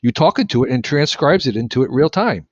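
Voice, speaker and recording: A man with an average-pitched voice co-hosts a conversational podcast. His phrasing is halting, with repeated words, and the recording is dry and a bit dull. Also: lively intonation, no echo. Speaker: podcast co-host. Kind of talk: casual interview chat.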